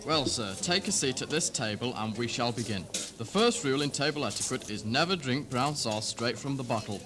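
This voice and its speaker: A man's voice: mid-range voice, expressive, brisk, a crowd in the background, close to an everyday voice. A man playing an officious, earnest etiquette teacher, pompously precise and instructional, in a comedy radio play. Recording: 1970s hospital radio recording, music behind.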